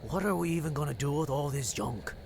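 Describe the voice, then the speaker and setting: An actor playing a nervous, doubtful young petty thief in an audio drama, whiny and hesitant. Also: male voice, a little high, animated, fast talker, gravelly, heavy background noise.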